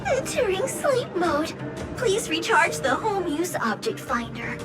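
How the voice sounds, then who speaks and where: Squeaky, chirpy gadget robot. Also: female voice, very animated, unhurried, exaggerated voice. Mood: chirpy, officious. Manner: recites corporate notices and slogans between spoken beeps. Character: chirpy beeping robotic lost-item finder appliance, officious. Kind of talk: video game voice acting. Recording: game audio under loud music.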